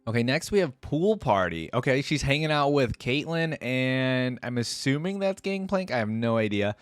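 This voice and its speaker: A man's voice: medium pitch, lively intonation, normal speed, clean tone, dry recording, studio quality. A man giving casual, enthusiastic reaction commentary as a video host, chatting directly to his viewers. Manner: enthusiastic, conversational, addresses viewers as "guys". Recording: music playing behind the voice.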